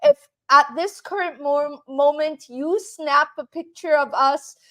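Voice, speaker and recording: Woman from Canada, high voice, unhurried delivery; teacher leading a live online class. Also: a bit bright. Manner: repeats "right?" to check in with viewers. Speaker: English teacher.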